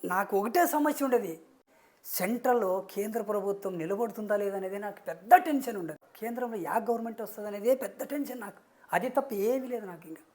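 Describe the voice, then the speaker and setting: A man voicing a meek, worried middle-aged village husband who talks slowly and plaintively. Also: high voice, expressive, a little mellow, pitched above the performer's own voice. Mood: meek, fretful.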